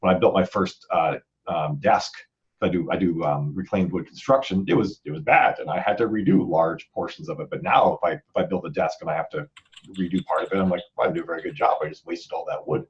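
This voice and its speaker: Casting: male podcast host, very low voice, rambling chatty delivery, slightly muffled band-limited sound. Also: quick pace. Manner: rambling, conversational, repeats words as he thinks. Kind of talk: casual podcast panel chat.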